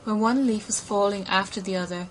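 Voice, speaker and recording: A woman reading a fairy tale as an audiobook narrator, in an expressive storytelling manner. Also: medium pitch, fairly quick, rough recording. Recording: clear background noise.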